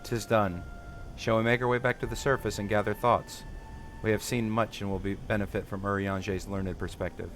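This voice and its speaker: A man's voice: on the low side, level tone, normal speed, few pauses, fairly bright tone, soft background music, lightly acted. A man voicing an earnest, determined young female adventurer from a fantasy game, in formal, old-fashioned speech. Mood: calm, businesslike.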